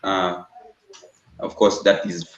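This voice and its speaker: A man's voice: sits low, little pitch movement, slow pace. A Ugandan man, a regional political coordinator, introducing himself formally. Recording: distant, echoey, dull, hissy audio.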